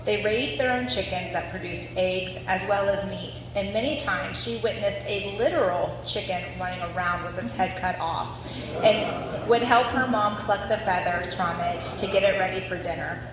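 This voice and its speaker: A woman's voice: medium pitch, moderate pace. A woman reading out a scripted family story before a live audience. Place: large reverberant room at a family gathering. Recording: very echoey hall with clear background noise.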